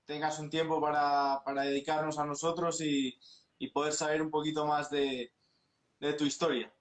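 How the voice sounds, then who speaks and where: Halting male interviewer, slightly high voice. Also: little pitch movement. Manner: halting, repeats words as he asks. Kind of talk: Instagram Live interview.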